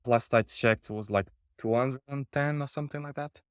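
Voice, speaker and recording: A man in his thirties from Portugal, a startup cofounder answering interview questions in a relaxed, halting way with lots of fillers. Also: medium pitch, clear, resonant tone, no echo, clean studio sound.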